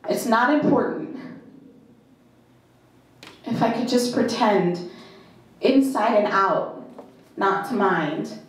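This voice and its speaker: Slow, echoey woman reading a dramatic monologue. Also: mid-range voice, lively intonation. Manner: deliberate, halting delivery with short phrases and emphatic repetition. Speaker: reader performing a monologue. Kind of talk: dramatic first-person monologue reading at a live event. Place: indoor live performance in a club. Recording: very echoey live venue.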